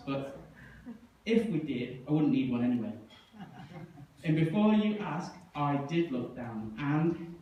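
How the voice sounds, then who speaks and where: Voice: mid-range voice, darkish tone. A performer voicing a cheeky, boastful child puppet boy with an exaggerated, bragging delivery in a children's puppet show. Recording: echoey room, distant mic, faint noise.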